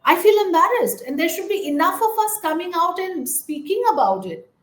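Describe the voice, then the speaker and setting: A woman's voice: extremely high pitch, very animated, normal speed. A woman conducting a news interview in a conversational, earnest and emphatic manner. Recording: roomy, echoing voice.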